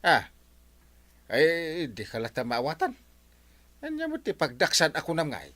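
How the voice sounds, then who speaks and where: Comic village man, puzzled and stammering, hugely animated pitch, for radio comedy. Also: measured pace, full character voice. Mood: flustered, puzzled. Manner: hesitant, stammering. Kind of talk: comedic radio drama acting.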